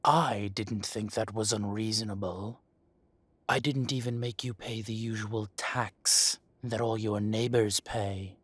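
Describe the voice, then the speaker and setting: Slow, low, menacing mafia boss, played by a man. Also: steady tone, fairly bright tone, breathy edge, dry recording. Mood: coolly reasoning. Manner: mock-polite, taunting, deliberate menace. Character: menacing possessive male dragon shifter mafia boss. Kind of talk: scripted roleplay audio drama, addressing the listener.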